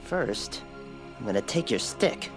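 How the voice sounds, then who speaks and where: An actor playing a troubled young adult male lion in an animated film, speaking in a low, brooding, slow manner. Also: gravelly. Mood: determined. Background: loud film score music.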